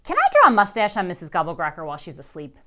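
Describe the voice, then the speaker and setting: A woman's voice: on the low side, wildly swooping pitch, rapid-fire, bright, forceful tone, heavily acted. A small little sister who tags along and pleads to join in, as a woman reads her. She sounds young and hopeful, a pestering storybook kid. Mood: pleading.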